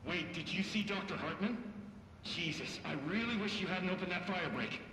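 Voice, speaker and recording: A bright, echoing intercom carries a nervous game-character staffer. He rambles awkwardly and fumbles for words, excitable and chatty, in a fairly high voice. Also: normal speed. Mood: anxious. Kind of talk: naturalistic game cutscene dialogue. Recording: echoey intercom in big hall, faint noise.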